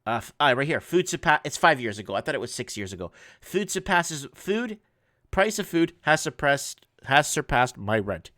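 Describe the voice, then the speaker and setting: A man's voice: on the high side, big pitch swings, pushed and bright, no room sound, studio quality. Man reading news with stumbling asides. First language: Portuguese. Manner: halting, restarts often, reads articles aloud with asides. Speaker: online news show host. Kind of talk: casual commentary reading headlines.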